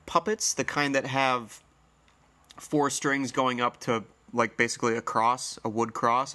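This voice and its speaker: A man's voice: mid-range voice, pushed and bright. Congested, nasal man hosting a podcast. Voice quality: slightly hoarse. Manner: rambling, friendly storytelling with fillers. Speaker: podcast host. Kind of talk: casual solo podcast chat.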